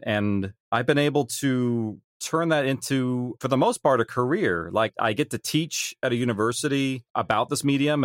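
A man's voice: medium pitch, no room sound, studio quality. A man giving reflective, casual answers as an interview guest who makes audio drama.

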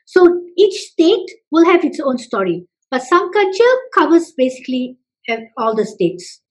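A woman from Malaysia, answering interview questions with many pauses and restarts. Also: high voice, big pitch swings, poor audio. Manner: hesitant, many fillers and restarts. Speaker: festival representative guest.

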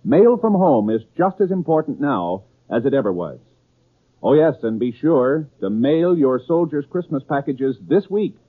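A man's voice: fairly high pitch, very animated, a little slow. An American man announcing from a script in 1950s radio style. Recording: tinny old 1950s radio broadcast.

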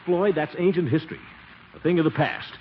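An actor playing a pompous, flustered middle-aged bachelor in 1940s radio comedy, blustering and stammering. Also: male voice, a little high, brisk, a little hiss or hum, lightly acted. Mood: blustery, defensive. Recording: muffled 1940s radio recording.

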